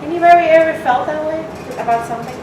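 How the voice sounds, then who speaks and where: A woman from Mexico, a guest speaker, telling a personal story to an audience in a candid, animated way. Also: high-pitched, fast, highs cut off. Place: event hall with audience. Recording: echoey hall, mic from far off, noisy.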